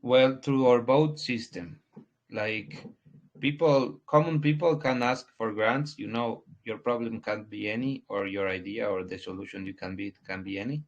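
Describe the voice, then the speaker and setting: Guest, 20s male, low voice, hesitant and restarting, lo-fi sound. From Ecuador. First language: Spanish. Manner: halting, restarts often, searches for words. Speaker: guest, crypto project founder. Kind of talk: casual interview answers. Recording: dull lo-fi audio.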